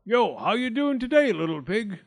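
A big bad storybook wolf, acted out by a man, sly and menacing. He talks with slangy street swagger, pitched up high and swooping boldly. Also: big pitch swings, normal speed. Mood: sly, coaxing. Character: big hungry wolf, sly, smooth-talking, sneering and menacing.

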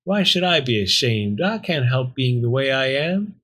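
A man's voice: medium pitch, very animated, moderate pace, a bit bright. A man voicing a wily, dapper fox villain in a storybook, smug and hungry, with suave, florid menace. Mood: amused, smug.

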